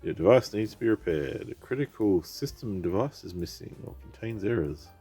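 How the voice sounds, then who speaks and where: Low-voiced man with a head cold. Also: expressive, fairly quick, mellow, husky, soft background music. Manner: rambling, thinks aloud, reads on-screen text. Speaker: PC repair vlogger. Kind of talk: casual rambling commentary while working.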